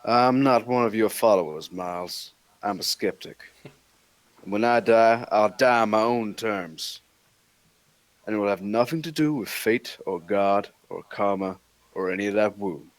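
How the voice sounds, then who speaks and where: A man's voice: fairly low pitch, measured pace, no echo, close to an everyday voice. A man reading the part of a scruffy, skeptical monster hunter in his forties, with a sarcastic, wisecracking manner.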